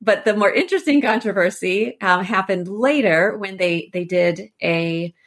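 A woman's voice: mid-range voice, moderate pace. A woman speaking as a scholarly interview guest, explaining things informally in meandering, conversational phrasing. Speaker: scholar and author, podcast guest.

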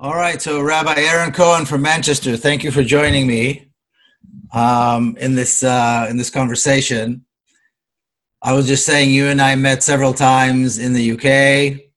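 A man's voice: mid-range voice, little pitch movement, normal speed, a bit bright, low-quality recording. A man interviewing a guest in a casual, conversational way, searching for his words as he goes.

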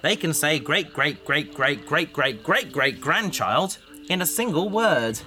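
A bookish, well-meaning spaceship crewman. His voice is fairly high and crisp-edged, with bouncy intonation and an earnest, pedantic, slightly awkward manner. Faint music sits under this sci-fi audio drama. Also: expressive, slow, bright, forceful tone, exaggerated voice. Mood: explaining.

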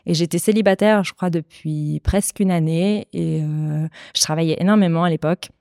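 A woman shares personal stories as a podcast guest. Her voice is soft-edged and mellow, a little low, and recorded close and dry. The delivery is casual. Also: moderate pace, creaky, no echo. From Switzerland. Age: 30s.

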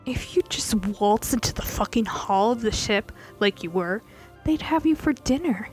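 A woman voicing a stern, foul-mouthed male pirate enforcer who curses and shouts threats in an audiobook-style story reading. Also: fairly high pitch, lively intonation, moderate pace, faint music behind, lightly acted. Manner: gruff, cursing, shouting threats.

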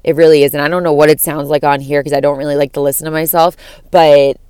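A young woman from Florida with a deep voice that cracks at times, musing on a casual solo podcast in a rambling, profane way full of fillers. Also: level tone, quick pace, a little mellow, clear, resonant tone, dry recording. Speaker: podcast host.

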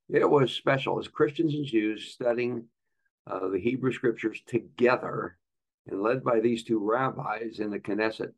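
A man's voice: fairly low pitch, vocal fry, poor audio. A man hosting a podcast as a pastor, introducing and questioning a guest in conversation. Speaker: podcast host. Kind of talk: conversational interviewing.

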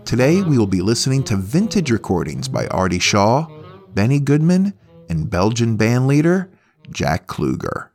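A man's voice: mid-range voice, huge pitch swings, normal speed, darkish tone. A man hosting a music podcast in a chatty, playful radio presenter's style, speaking directly to listeners.

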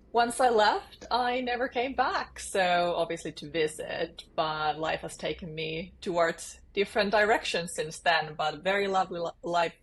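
Low-pitched Finnish woman being interviewed. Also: slight background noise, low-quality recording. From eastern Finland. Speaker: interview guest, sales leader. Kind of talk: conversational interview.

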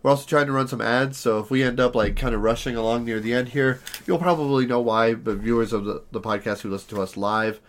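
A man co-hosting a casual podcast, his voice hoarse and raspy from allergies, chatting in a rambling, self-deprecating way. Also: fairly even intonation, quick pace, hardly any pauses. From Southern California. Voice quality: naturally deep voice, raspy today. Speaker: podcast co-host.

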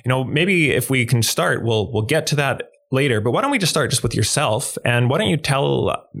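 A man hosting a podcast interview, introducing his guest and asking questions in a relaxed, loose way. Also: mid-range voice, moderate pace, creaky, slightly rough. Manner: relaxed, with fillers and restarts while asking questions. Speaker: podcast host. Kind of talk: conversational interview.

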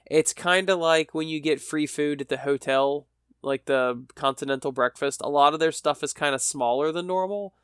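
A man's voice: on the high side, moderate pace, clear, resonant tone, no echo, studio quality. A man hosting his own podcast, tired and stuffy with a cold, rambling with self-mocking humor. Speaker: podcast host. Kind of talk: solo podcast chat. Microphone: podcast microphone.

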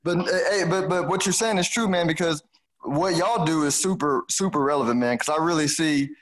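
A man's voice: on the high side, normal speed, fairly bright tone, low-quality recording. A man with a Houston, Texas accent chatting casually as a podcast host, colloquial and peppering his talk with "you know". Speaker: podcast host and financial advisor. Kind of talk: casual conversational chat.

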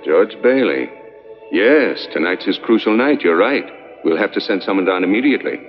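A very low-voiced, authoritative angel in charge of heaven with kindly gravity. It is 1940s film acting, muffled and old, with faint music underneath. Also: male voice, lively intonation, normal speed. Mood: calm authority.

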